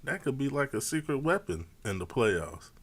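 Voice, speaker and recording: A man hosting a small sports talk show with a voice of middle pitch. He talks in a brash, boastful way, swearing freely and talking over his co-host. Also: very animated, normal speed, slightly rough.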